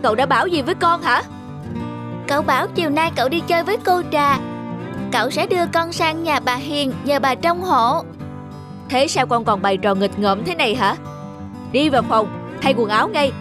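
A woman's voice: sits high, close to an everyday voice. A woman voicing a flustered young uncle. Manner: exasperated, quick to scold. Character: young adult man, flustered bachelor uncle. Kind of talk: cartoon voice acting. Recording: music underneath.

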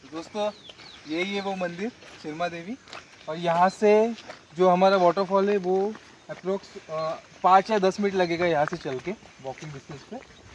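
A man's voice: high voice, moderate pace, slight background noise. A man vlogging outdoors, explaining directions step by step as he walks a rural trail. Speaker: travel vlogger. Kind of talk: casual guide to a trail.

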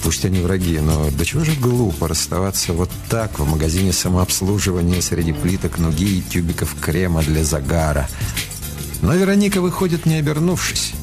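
A man narrating a radio play in a scripted, literary, expressive storytelling style. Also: low-pitched, fairly quick. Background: music.

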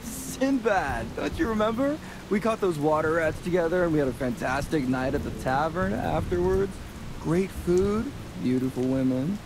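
Cocky, higher-voiced young rogue. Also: male voice, lively intonation, normal speed, background noise. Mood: breezy, persuasive. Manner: breezy, smooth-talking, chummy. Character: cocky, fast-talking roguish young male scoundrel. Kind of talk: video game character acting. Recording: music and rain behind the voice. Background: game music, rain.

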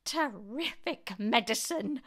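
A woman voicing a bossy, exultant old grandmother in a storybook, shouting and whooping in a screechy voice. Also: extremely high pitch, very animated, measured pace, pitched above the performer's own voice, full character voice. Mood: demanding.

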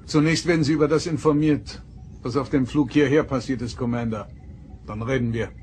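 An actor playing a terse, commanding starship captain in a German audio drama. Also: male voice, medium pitch, steady tone, fairly quick, a little mellow, slight background noise, close to an everyday voice.

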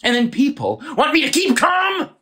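A woman's voice: high voice, wildly swooping pitch, normal speed, fairly bright tone, exaggerated voice. A woman playing an irate, blustering male landowner in an audio play, ranting with theatrical exasperation. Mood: exasperated ranting.

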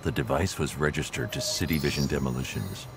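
A stoic vigilante detective for a video game. The voice is extremely low, grim and deadpan, laying out evidence tersely over faint background ambience. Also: male voice, fairly quick, noisy background. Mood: grim, resolute.

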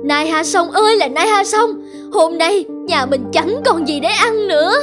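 An actress playing a long-suffering, anxious young wife and mother in an animated folk tale, plaintive in manner. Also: extremely high pitch, moderate pace. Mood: exasperated, tearful. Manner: plaintive, lamenting. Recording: music bed under the voice.

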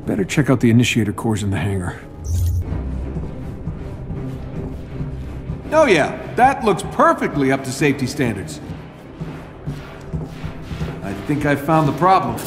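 An actor playing a cocky, wisecracking genius inventor hero in a video game, with glib, sarcastic delivery. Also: male voice, mid-range voice, big pitch swings, moderate pace, long pauses, over music, lightly acted. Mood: wry, busy. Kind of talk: video game cutscene acting.

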